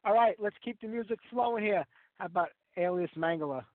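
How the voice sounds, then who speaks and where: A man's voice: sits high, a little fast, dry recording. A middle-aged man hosting a radio show, chatty and enthusiastic, sounding stuffy and congested from a sinus infection. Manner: chatty, enthusiastic fan talk. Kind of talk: casual radio talk. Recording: tinny phone-line sound, very dry.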